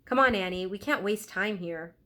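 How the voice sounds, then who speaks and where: A woman's voice: medium pitch, normal speed, bright, forceful tone, lightly acted. A woman voicing a worried, practical young boy adventurer in a storybook, anxious and full of exclamation.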